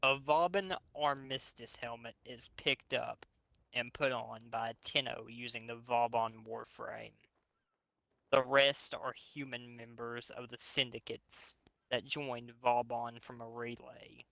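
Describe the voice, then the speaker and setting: A man's voice: mid-range voice, steady tone, takes it slowly, gravelly, bright, forceful tone, dry recording, low-quality recording. A man hosting a reading of fan fiction aloud, breaking off into sarcastic, sweary commentary. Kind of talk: mocking dramatic reading with casual commentary.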